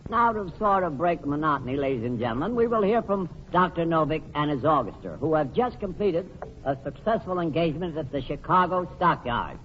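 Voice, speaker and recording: An actor playing an exasperated quiz host in an old-time radio comedy. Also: male voice, fairly high pitch, expressive, fairly quick, natural voice. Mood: dry, announcing. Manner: repeats answers in disbelief. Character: exasperated quiz host pleading with silly contestants. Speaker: quizmaster and host. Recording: noisy muffled old radio, loud studio audience.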